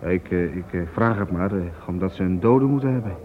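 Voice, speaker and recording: A man's voice: low-pitched, fast, phone-quality sound, lightly acted. A man in a radio play voicing a hesitant fish seller who stammers over his words. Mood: nervous. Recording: noisy old radio recording.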